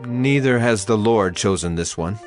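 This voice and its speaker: Male actor as solemn prophet, lowish voice, grave formal delivery, Bible drama, music underneath. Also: moderate pace, close to an everyday voice. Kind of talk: dramatized Bible story acting.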